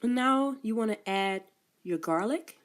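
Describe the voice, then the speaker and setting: A woman's voice: a little high, animated, a little slow. A woman with a cold, sounding congested and coughing now and then as she walks through a recipe step by step in a casual cooking demonstration. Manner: plain step-by-step instructions with frequent coughs. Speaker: cook. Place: food truck kitchen.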